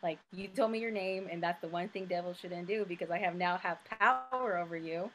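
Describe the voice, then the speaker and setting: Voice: medium pitch, brisk, a bit bright, slight background noise, rough recording. A player in a tabletop role-playing game, describing a character's actions in a rambling way, full of "like" and "um". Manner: rambling, filler-heavy "like". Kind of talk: casual tabletop play.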